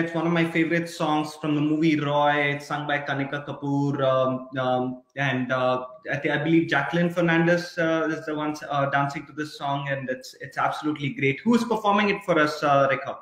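A man's voice: a little high, a little fast, few pauses, fairly bright tone. A man in his thirties chatting casually as he presents a virtual recital on a video call. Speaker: co-host of a virtual dance recital. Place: indoor room, video call. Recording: echoey room on video call.

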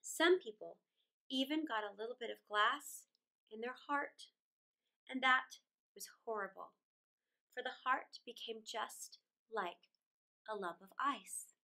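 A middle-aged woman reading a children's story aloud in a theatrical, deliberate way, drawing out words with dramatic pauses. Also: a little high, bright, forceful tone. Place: small indoor room against a plain wall.

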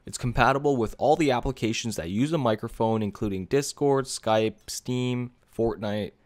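A man with a mid-pitched voice narrates a scripted software review. He addresses viewers directly in a casual, conversational way, like a video creator walking through a list of options. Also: normal speed.

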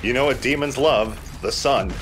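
A man's voice: medium pitch, moderate pace, noisy background. A man giving casual commentary as he plays and hosts a video game let's play. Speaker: gaming let's play host, player.

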